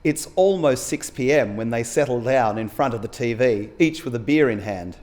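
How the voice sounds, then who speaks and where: A middle-aged man reading short fiction aloud on stage, expressive and dramatic in his storytelling. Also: mid-range voice, normal speed. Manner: dramatic, acts out dialogue. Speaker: story reader at a live literary event. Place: stage at a small indoor event venue. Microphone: lectern gooseneck microphone.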